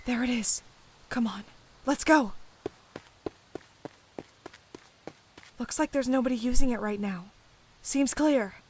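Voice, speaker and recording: Casting: actress for protective young woman on the run, higher voice, agitated yet caring, fantasy audio drama, slightly noisy recording. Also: moderate pace, a little mellow, close to an everyday voice. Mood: urgent, reassuring.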